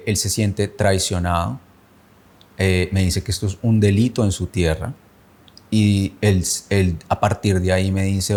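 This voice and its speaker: A man in his thirties from Bogotá with a Colombian accent, giving a reflective personal testimony, measured and often searching for words. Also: fairly low pitch, gravelly, darkish tone.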